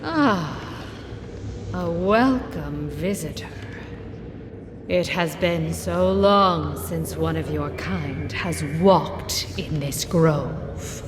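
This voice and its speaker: A woman playing an ancient, solemn forest spirit queen in a video game, measured and regal. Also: sits low, animated, exaggerated voice. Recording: long reverb, faint background noise.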